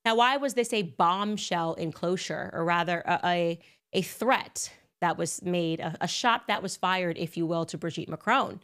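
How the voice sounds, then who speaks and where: Chatty woman host, slightly low voice. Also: moderate pace, a bit bright, smooth. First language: English. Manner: conversational and emphatic, with asides and fillers. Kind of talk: casual monologue commentary.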